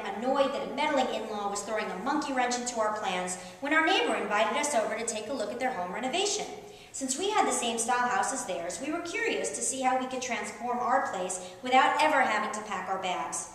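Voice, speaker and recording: A middle-aged woman author reading a humorous personal essay aloud to an audience, with animated, wry storyteller emphasis. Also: mid-range voice. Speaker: author reading at a book tour event. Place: reverberant indoor event room. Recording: very echoey room, distant, faint background noise.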